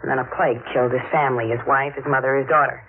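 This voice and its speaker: Bold, calculating young noir blackmailer, cool delivery on a thin, muffled vintage radio recording. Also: female voice, a bass voice, fairly even intonation, racing. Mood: scheming, matter-of-fact. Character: cool, scheming young female blackmailer, noir femme fatale. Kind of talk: 1950s radio noir acting.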